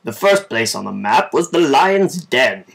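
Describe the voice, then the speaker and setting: A man reading a children's story aloud in an informal, measured amateur way. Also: medium pitch, very animated, vocal fry, fairly bright tone, slightly rough. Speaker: storybook reader.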